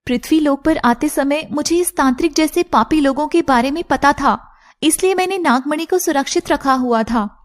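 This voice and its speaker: A woman's voice: high-pitched, normal speed, lightly acted. A woman voicing a young, loyal serpent woman in a cartoon, earnest and dramatic. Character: young female shape-shifting serpent woman, emotional and loyal. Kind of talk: Hindi cartoon character dialogue.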